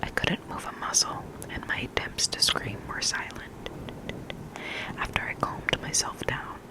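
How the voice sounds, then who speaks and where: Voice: unhurried, poor audio. A person whispering scary stories in an ASMR reading style, close to the microphone, sometimes repeating words. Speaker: ASMR reader of listener stories. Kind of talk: whispered ASMR storytelling, reading creepy anecdotes.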